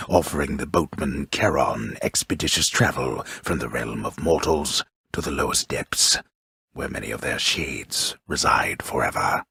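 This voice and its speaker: An actor playing a grandiose, wry storyteller in a fantasy video game, narrating in a solemn, measured, ornate manner with a gravelly, husky voice. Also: male voice, a bass voice, a bit bright, no room sound, clean studio sound, heavily acted. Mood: solemn, grand. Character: grandiose, wry old-world male storyteller of the underworld. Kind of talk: dramatic scripted fantasy narration. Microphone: close studio microphone.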